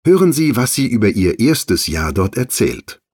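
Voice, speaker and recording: Male audio magazine presenter, lowish husky voice, scripted intros for learners. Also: sing-song, normal speed, darkish tone, no room sound, clean studio sound.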